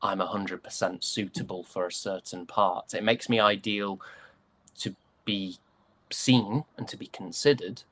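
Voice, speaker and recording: A man's voice: lowish voice, steady tone, unhurried, fairly bright tone. A man giving casual interview answers as a guest, thoughtful and hesitant. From United Kingdom.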